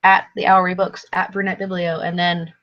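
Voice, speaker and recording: A woman's voice: lowish voice, flat delivery, fairly quick. A tired woman who is losing her voice, co-hosting a casual live book chat in a rambling, hesitant, self-correcting way. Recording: dull lo-fi stream audio.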